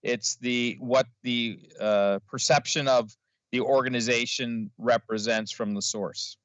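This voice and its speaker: A man, a school board trustee, explaining his view in a halting, searching way over a video call. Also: mid-range voice, steady tone, a bit bright, no room sound. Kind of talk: formal board meeting debate. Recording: dry, lo-fi video call audio.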